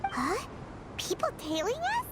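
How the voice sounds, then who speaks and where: A woman voicing a tiny, childlike fairy companion in a video game, squeaky, plucky and excitable. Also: measured pace, heavy background noise, full character voice. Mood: surprised. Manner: squeaky, exclamatory. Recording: loud game music behind the voice. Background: loud game music.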